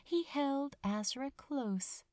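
A woman narrating a written story as an audiobook reader, in an expressive, measured storytelling manner. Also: high-pitched, dry recording, clean studio sound. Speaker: fan fiction audiobook reader.

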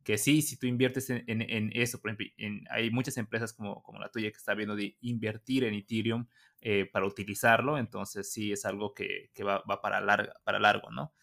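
A man's voice: on the low side, little pitch movement, brisk, gravelly, a bit bright. A man hosting a podcast interview, asking questions with frequent hesitations and repeated words. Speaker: podcast host. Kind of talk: casual interview.

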